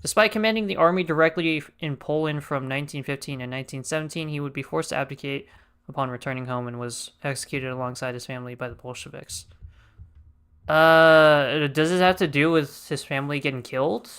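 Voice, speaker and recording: A man's voice: a little high, fairly quick, a bit bright, clean tone. A man giving casual, slangy, joking gameplay commentary as a streamer. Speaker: game streamer.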